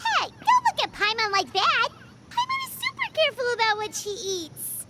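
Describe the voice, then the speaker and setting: Very high, squeaky little fairy girl. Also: wildly swooping pitch, normal speed, noisy background, full character voice. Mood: chatty. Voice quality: squeaky, childlike. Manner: refers to herself in the third person, exclamatory. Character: small excitable floating fairy-like girl companion, chatty and naive. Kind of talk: anime video game voice acting. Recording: game music behind the voice.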